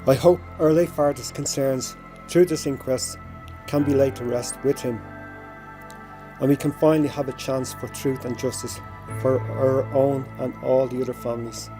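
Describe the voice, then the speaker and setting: A middle-aged man with a Dublin accent reads a prepared memorial statement in a measured, formal way. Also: medium pitch, fairly even intonation, over music. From Ireland. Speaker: bereaved brother giving a tribute.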